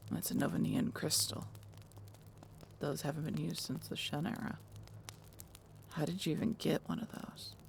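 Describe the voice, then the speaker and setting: A woman playing a blunt, distrustful harpy in an audio roleplay, with a low gravelly voice and a curt, sarcastic manner. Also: steady tone, moderate pace, pauses often, noisy background. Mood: wary, stern.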